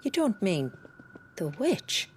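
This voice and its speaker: Dry, slow-spoken sorceress. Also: female voice, mid-range voice, very animated, a little hiss or hum. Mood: disbelief. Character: dry, cautious, sharp-tongued female spellcaster. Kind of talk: dramatic video game voice acting.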